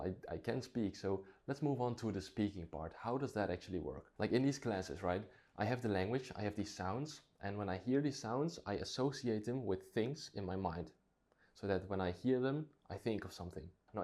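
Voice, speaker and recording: Low, mellow-voiced Dutch man vlogging. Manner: reflective, thinking aloud with restarts. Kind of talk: casual talk to camera.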